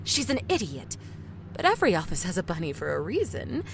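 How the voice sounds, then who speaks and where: A woman's voice: lowish voice, huge pitch swings, fairly quick, gravelly, background noise, full character voice. A woman acting in an audio drama as a vain, foul-mouthed, ruthless TV executive, theatrical and sarcastic. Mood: scornful, gossipy.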